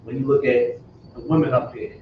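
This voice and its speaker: Male class member, mid-pitched, muffled lo-fi sound with faint background noise. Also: steady tone, brisk, heavy reverb, distant mic. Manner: agreeing, builds on the teacher's point. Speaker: class participant.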